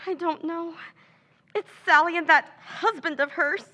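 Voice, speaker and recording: A woman's voice: very high-pitched, fairly even intonation, unhurried, sharp tone, muffled recording, full character voice. A woman playing a scheming, stammering Pamela. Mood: nervous. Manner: stammering, hesitant, breathy pleading. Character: scheming woman Pamela feigning nervous distress. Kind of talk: 1940s radio acting.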